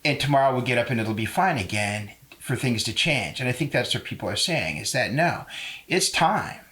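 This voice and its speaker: A man's voice: medium pitch, lively intonation, moderate pace, fairly bright tone. A man speaking as a podcast guest, earnest and articulate as he builds an argument. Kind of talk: conversational interview.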